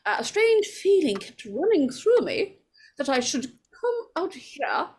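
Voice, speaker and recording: Woman playing a high-voiced, nervous scheming woman. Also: big pitch swings, slow, a little mellow, exaggerated voice. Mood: nervous, pleading. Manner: hesitant, stammering, repeats words. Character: nervous, pleading, scheming woman coveting a house. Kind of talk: 1940s radio horror acting.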